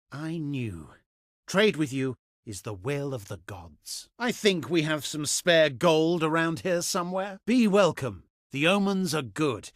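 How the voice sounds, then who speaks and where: A pompous ancient Etruscan statesman for a strategy game, cultured and condescending. His formal, theatrical delivery swings widely in pitch with deliberate emphasis. The sound is dry, with no room around it. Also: fairly bright tone, no echo. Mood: courteous welcome. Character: haughty ancient Etruscan male diplomat, pious, cultured and condescending. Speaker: faction diplomat in a strategy game. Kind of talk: theatrical scripted game voice acting.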